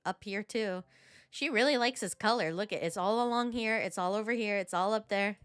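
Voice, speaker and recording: A woman giving casual live commentary on a game playthrough, playful and excitable. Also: mid-range voice, a little fast, clean tone, no room sound, studio quality. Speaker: game streamer.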